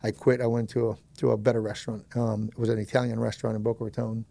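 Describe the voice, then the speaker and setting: A man's voice: on the low side, flat delivery, very fast, creaky, dark tone. A man in his fifties, originally from Colombia, telling his life story as a podcast guest in a rambling, self-deprecating way and laughing easily. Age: 50s. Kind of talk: casual storytelling interview.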